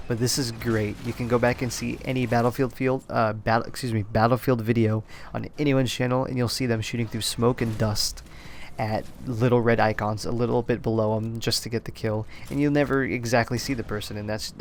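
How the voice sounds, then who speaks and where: A slightly hoarse man giving casual solo commentary on a video game reveal, rambling, often correcting himself and admitting he is unsure. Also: mid-range voice, slight background noise. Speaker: gaming commentator.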